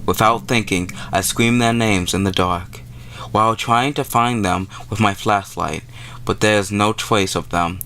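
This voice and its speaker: A man's voice: lowish voice, fairly even intonation, unhurried, sharp tone, a little hiss or hum. A man hosting a gaming video, reading visual novel text aloud and adding wry, informal commentary.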